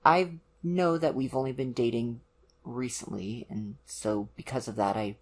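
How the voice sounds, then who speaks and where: A performer playing an awkward, caring young college boyfriend in an ASMR roleplay, speaking hesitantly and gently to the listener. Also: mid-range voice, breathy edge. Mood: awkward, tentative. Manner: hesitant, gentle, awkward, many ums and pauses. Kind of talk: ASMR roleplay, one-sided conversation with the listener.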